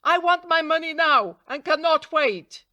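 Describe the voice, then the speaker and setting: Woman acting storybook sparrow, small male bird, pert, insistent haggler, raised pitch, dry sound. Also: animated, a little slow, sharp tone, dry recording, lo-fi sound, heavily acted. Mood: angry. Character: male talking sparrow, eager little haggler turning fierce.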